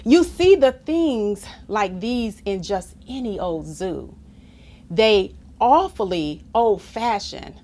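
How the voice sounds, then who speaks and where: A woman reading a children's story aloud in an expressive, playful, theatrical manner, as if to young listeners. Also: mid-range voice, slow. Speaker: story reader.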